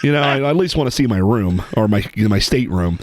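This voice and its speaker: A man's voice: on the low side, lively intonation, fast, slight background noise. A man from Ohio co-hosting a casual podcast, telling rambling stories full of fillers. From Ohio, USA. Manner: rambling, filler-heavy storyteller. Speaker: podcast co-host. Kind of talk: casual chat.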